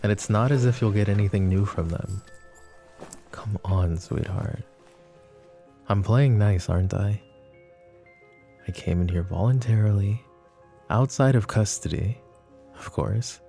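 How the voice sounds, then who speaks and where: A deep-voiced, smug mafia boss acted by a man, flirty and possessive in an ASMR roleplay. He drawls slowly and intimately, mocking and teasing, with a gravelly fry in his voice. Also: mellow, soft background music.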